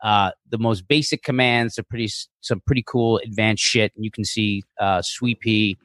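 A man's voice: fairly low pitch, steady tone, normal speed, fairly bright tone, no echo. A male comedian talking casually as a guest on a podcast. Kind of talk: casual chat.

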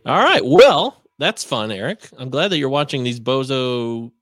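A man's voice: medium pitch, a little slow, dry recording, clean studio sound. A man co-hosting a casual podcast, bantering, laughing easily and swearing freely. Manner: animated, laughs readily, profane. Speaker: podcast co-host.

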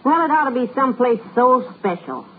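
A cheerful, sensible middle-aged housewife teases her husband in a 1940s radio comedy, her voice swinging up and down with lively expression. The sound is thin and tinny like an old radio broadcast, with a faint hiss underneath. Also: sits high, a little fast. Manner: warm teasing wifely banter.

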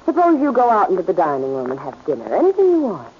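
A muffled old radio recording of a shrewd lady who runs a gambling house. She is poised and commanding, her polite delivery moving widely in pitch, in period radio drama style. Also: wildly swooping pitch, fast, a little hiss or hum. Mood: smoothly gracious. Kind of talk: 1940s radio acting.

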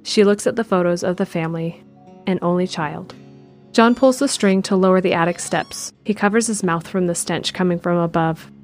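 A woman giving steady scripted narration of an audio drama in the present tense. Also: lowish voice, normal speed, faint music behind.

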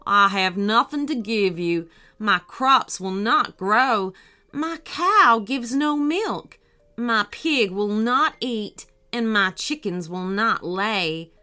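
Woman acting a slow, mournful tired farmer. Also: mid-range voice, expressive, sharp tone, faint music behind. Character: sad, tired farmer man, gloomy and soft-hearted.

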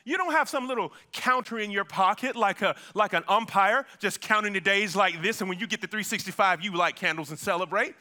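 Male pastor, high and bright-toned, conversational sermon delivery with dramatic pauses.

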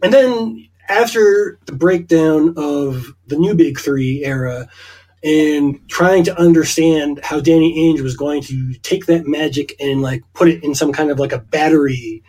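A man's voice: a little high, a little slow, darkish tone. A man in his early forties from Eastern Connecticut, talking in a reflective, rambling way on a casual podcast. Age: 40s. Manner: reflective, rambling with hedging asides. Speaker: podcast co-host.

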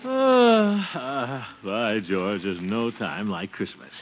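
An actor playing a pompous, doting middle-aged uncle with a blustery, chuckling manner in 1940s radio comedy style. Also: male voice, on the low side, animated, takes it slowly. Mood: doting, tender. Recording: noisy, muffled 1940s radio recording.

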